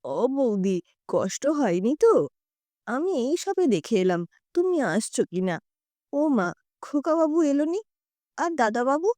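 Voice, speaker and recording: A woman's voice: high-pitched, sing-song, slow pace, pauses often, warm tone, dry recording, exaggerated voice. A woman voicing an effusive, humble housemaid auntie in a story, with colloquial, fussing, deferential speech. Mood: fussing welcome.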